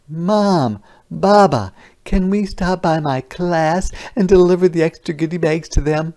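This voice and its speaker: A man's voice: on the high side, a little slow, mellow, voice pushed up. A man reading the lines of an eager, polite young schoolgirl in a children's picture book. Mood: hopeful.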